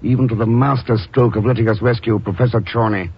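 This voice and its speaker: An actor playing a calm, dryly sardonic espionage hero with a husky voice in a 1950s radio drama. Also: male voice, medium pitch, fast talker. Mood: cool, assured. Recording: muffled old radio recording.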